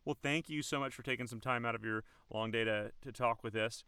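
A man's voice: mid-range voice, steady tone, brisk, pushed and bright, clean tone, no echo, studio quality. A man hosting a podcast, chatting casually and rambling with lots of "like" and "um". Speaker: podcast host. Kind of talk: casual chat.

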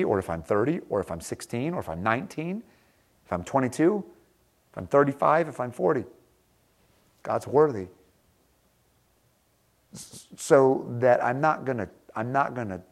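A man's voice: mid-range voice, expressive, moderate pace, pauses often. A man preaching a sermon, earnest and emphatic, repeating words for stress, then leading a prayer. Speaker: pastor.

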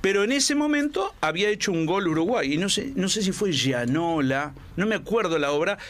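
A man's voice: high voice, brisk. A man on a casual panel chat who jokes and tells anecdotes. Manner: joking, animated anecdote teller.

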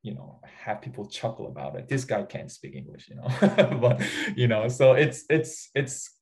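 A man speaks English with a Korean accent, his first language being Korean. His voice sits in an ordinary middle pitch, and he talks in a relaxed, halting way, restarting phrases and leaning on fillers. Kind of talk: casual conversation.

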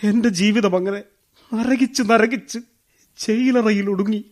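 A man acting a bitter, despairing wronged ex-convict in a Malayalam radio drama, with a Kerala accent and halting, theatrical delivery. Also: sits high, fairly even intonation, darkish tone, faint music behind. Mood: bitter anguish.

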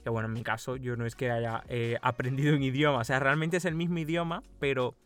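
A man's voice: mid-range voice, fairly even intonation, fast, fairly bright tone, clean tone, faint music behind. A young man from Cuba who speaks with a Madrid Spanish accent, co-hosting a casual podcast chat and explaining at length with frequent laughs. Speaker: podcast co-host.

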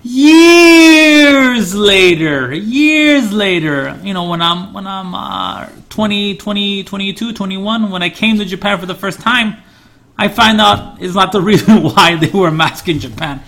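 A man's voice: high-pitched, animated, unhurried, a bit bright. A man, chatting casually and rambling through stories, correcting his co-host's English. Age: 40s. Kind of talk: casual chat.

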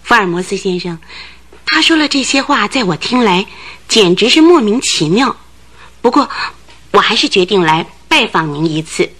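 A woman's voice: mid-range voice, big pitch swings, moderate pace, a bit bright. An actress in a radio drama playing a nervous, talkative landlady who tells things in earnest detail. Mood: puzzled.

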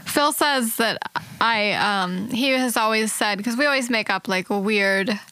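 A talkative woman co-hosting a podcast, chatting loosely and laughing often. Her voice sits a little high. It sounds close and dry, with no room around it. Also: unhurried, sharp tone, dry recording. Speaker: podcast co-host. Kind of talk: casual chat.

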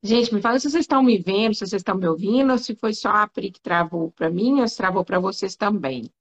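A woman's voice: mid-range voice, fast. A woman with a Brazilian Portuguese accent hosting a casual live interview, chatting informally and addressing her viewers directly. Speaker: host. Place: remote video call. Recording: slightly dull video-call audio.

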